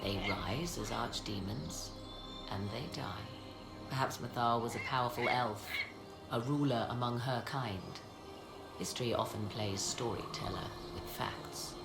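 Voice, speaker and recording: Deep-voiced, haughty witch scholar. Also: a little slow, vocal fry, exaggerated voice. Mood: cool, musing. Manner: formal, archaic, theatrical phrasing. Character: haughty, knowing witch, sardonic, archaic-spoken scholar of magic. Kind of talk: dramatic video game voice acting. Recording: echoey game audio under music.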